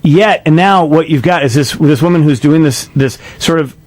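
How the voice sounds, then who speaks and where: A man's voice: on the high side, normal speed, a little mellow, no room sound. A man in his late forties hosting a radio talk show, chatty and enthusiastic, often restarting his sentences. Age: 40s. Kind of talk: casual radio interview chat. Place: radio studio.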